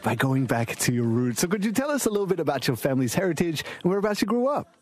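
Man, news host, upbeat, casual, slightly high voice, dry. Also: very animated, fast talker, darkish tone, no room sound. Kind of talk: conversational interview hosting.

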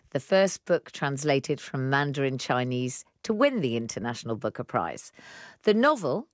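A woman presenting a radio arts programme, reading a scripted introduction in a clear, measured way. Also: sits low, dry recording, clean studio sound.

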